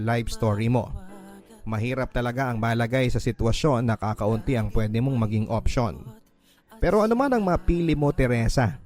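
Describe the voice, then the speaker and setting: A man's voice: mid-range voice, a little mellow. Filipino male radio storyteller over music. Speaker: radio host reading listener letters. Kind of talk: dramatic letter narration and advice.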